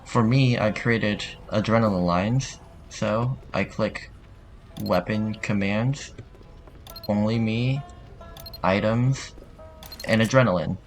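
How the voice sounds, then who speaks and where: A man narrating a software tutorial in an informal, somewhat hesitant way. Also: fairly low pitch, takes it slowly, long pauses, soft background music. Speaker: tutorial host.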